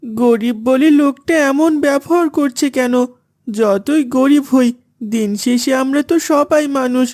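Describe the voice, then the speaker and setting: A woman's voice: high voice, measured pace, a little mellow. A woman voicing a humble, pious young servant boy in a cartoon, meek and earnest. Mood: hurt, earnest. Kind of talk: cartoon voice acting.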